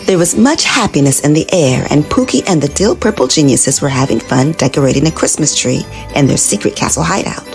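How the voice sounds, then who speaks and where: A woman narrating a children's storybook in an animated, expressive read-aloud manner. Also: low voice, brisk, gravelly, few pauses, faint music behind.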